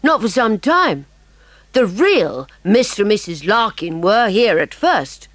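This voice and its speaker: A woman's voice: mid-range voice, very animated, a little slow, fairly bright tone, dry recording. A woman playing a clever, polite, eager schoolboy detective in a dramatised children's audiobook. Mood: admiring, confident. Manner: polite, explanatory, modest, slightly hesitant. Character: bright, eager, clever boy detective named Fatty.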